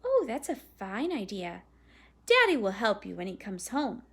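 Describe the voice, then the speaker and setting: A woman's voice: mid-range voice, huge pitch swings, unhurried, a bit bright, husky, exaggerated voice. A woman voicing a patient, practical mother in a storybook, kind but firm and reasonable. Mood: pleased, soothing.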